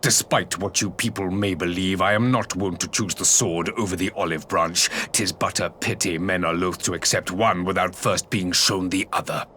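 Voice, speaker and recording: An actor playing a stern, haughty emperor who speaks in grave, measured archaic speech for a video game. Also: male voice, sits low, fairly even intonation, sharp tone, a little hiss or hum. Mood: cold disdain. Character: stern, imperious emperor, haughty and weary of talk.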